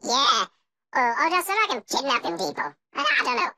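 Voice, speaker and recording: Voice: high-pitched, sing-song, brisk, pushed and bright, no echo, clean studio sound, heavily acted. A performer voicing a goofy, crude cartoon cup boy through a sped-up chipmunk voice changer, rambling in a silly way. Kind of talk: fan-made cartoon voice acting.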